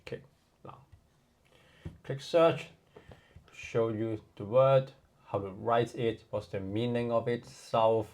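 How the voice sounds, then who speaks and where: A man from Hong Kong with a Hong Kong accent, giving a relaxed, chatty online lesson with many fillers. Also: medium pitch, slow, long pauses. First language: Cantonese. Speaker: language tutor.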